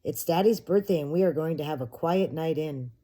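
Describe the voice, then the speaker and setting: Woman as storybook elephant mum: motherly, firm, bustling, soft dark timbre. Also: sits low. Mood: brisk, bossy. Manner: brisk, motherly, bossy.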